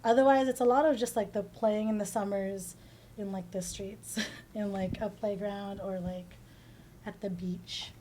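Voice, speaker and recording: Mellow, chatty woman from the Philippines. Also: mid-range voice, fairly even intonation, measured pace, slight background noise, poor audio. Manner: chatty, informal, frequent "like". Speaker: teacher, podcast guest. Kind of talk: casual interview answers.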